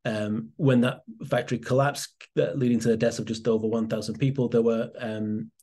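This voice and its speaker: Guy on a video call, flat even voice, lots of ums and restarts, lecturing. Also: medium pitch, fairly quick. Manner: hesitant, many fillers and restarts. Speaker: presenter. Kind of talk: academic webinar talk.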